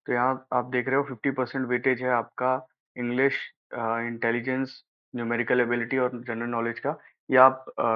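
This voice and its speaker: An Indian man talks through practical details in an informal explainer style. He advises casually, like an elder brother, and keeps checking that the listener follows. His voice sits at an ordinary male pitch, and the recording is slightly dull and lo-fi. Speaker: exam advice presenter. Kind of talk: informal explainer of a job notification.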